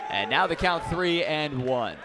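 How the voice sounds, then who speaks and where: A man calling baseball play-by-play live on the radio, phrasing deliberately and swelling on the big plays. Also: on the high side, expressive. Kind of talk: live radio sports commentary. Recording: noisy outdoor ballpark with crowd. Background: ballpark crowd noise.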